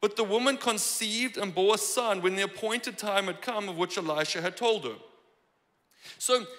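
A young man with a South African accent delivers a lively, joking sermon from a church stage. His voice sits high and bright. The handheld mic picks him up with a long hall reverb behind. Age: young adult. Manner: joking, animated, gestures freely while preaching. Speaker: guest preacher. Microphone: handheld microphone.

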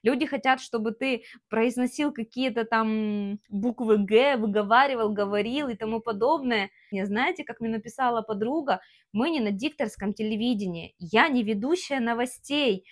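A woman's voice: fairly high pitch, normal speed. A young Ukrainian woman in her twenties, a vlogger, talking frankly to the camera in her kitchen on a lapel mic, with a Ukrainian accent and lots of fillers. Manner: frank, ranting and gesturing, many fillers. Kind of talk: casual talk to camera. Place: home kitchen, indoors.

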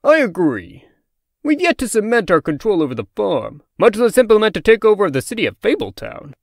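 A man's voice: high voice, wildly swooping pitch, brisk, creaky, dry recording, studio quality, heavily acted. A man voicing a pompous, officious revolutionary pig in a comic dub, with formal phrasing. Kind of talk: amateur comic dub acting.

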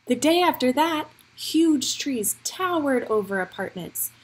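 A woman reading a picture book aloud for young children, with expressive, deliberate storytelling phrasing. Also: high voice, poor audio.

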